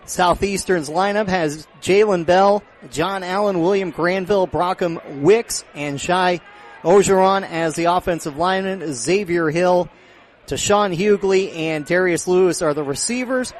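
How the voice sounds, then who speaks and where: An American man doing live radio play-by-play of a football game, projecting his voice and getting excited on big plays. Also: high-pitched, measured pace. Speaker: play-by-play announcer. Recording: live outdoor stadium broadcast, background noise.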